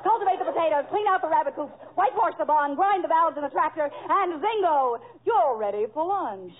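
An actress playing a pushy, overbearing farm aunt in 1940s radio comedy, insistently rattling off lists. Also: female voice, sits high, animated, brisk. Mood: brisk, bossy. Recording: very muffled old radio broadcast.

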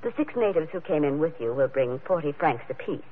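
An actress playing a haughty, scheming high priestess with a cool, formal, imperious manner in an old radio drama. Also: female voice, animated, fairly quick, breathy edge. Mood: cool, smug. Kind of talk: 1940s radio drama acting. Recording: narrow vintage radio recording, faint music.

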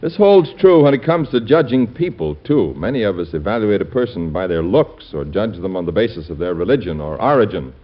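A man's voice: lowish voice, sing-song, fairly quick, hardly any pauses. A man reading a scripted public service message as a radio announcer. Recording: dull, band-limited old radio recording.

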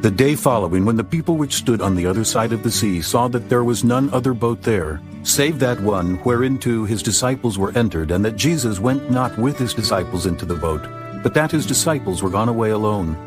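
A man narrating scripture in a steady, formal reading voice. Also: lowish voice, fairly quick. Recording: music underneath.

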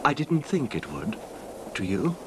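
On a noisy vintage radio drama recording, a middle-aged gentleman recluse. He is genteel, hesitant and wistful, with very expressive, mobile pitch at a medium male register. His formal, careful wording carries a nervous devotion. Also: unhurried. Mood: wistful, reminiscing. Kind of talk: old time radio drama acting.

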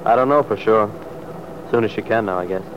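An actor playing a troubled, guarded but tender young sergeant in an old-time radio drama, halting and earnest. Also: male voice, fairly low pitch, lightly acted. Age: young adult. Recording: muffled, noisy vintage radio recording.

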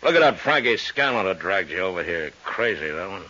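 Gruff american mob boss, really low voice, kinda husky, slangy and world-weary, old radio drama. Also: male voice, big pitch swings, brisk, bright, forceful tone, exaggerated voice. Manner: blunt, slangy tough-guy talk. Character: gruff, shifty, world-weary male gambling-joint boss, ex-bootlegger. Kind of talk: 1950s radio drama acting. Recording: dull old radio recording.

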